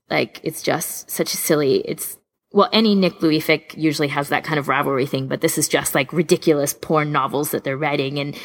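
A woman's voice: low-pitched, vocal fry. A woman co-hosting a casual podcast, chatting enthusiastically like a keen fan. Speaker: podcast co-host.

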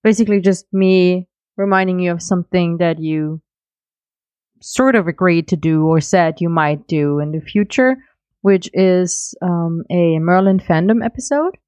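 A woman's voice: fairly low pitch, unhurried, a little mellow, no echo. A woman giving casual spoken comments as a podcast contributor.

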